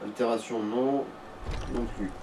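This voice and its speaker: A man's voice: mid-range voice, fairly even intonation, measured pace, distant mic, noisy background. A man chatting casually as a let's play co-commentator, laid-back and slangy with dry jokes. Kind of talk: casual gameplay chat.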